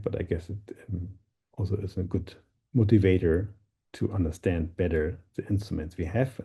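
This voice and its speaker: A middle-aged man with a background presents science in a very dark, mellow voice. There is a lot of fry and husk in it. He speaks haltingly, often doubling back on his words as he explains. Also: low-pitched. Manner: halting, searching for words, repeating himself.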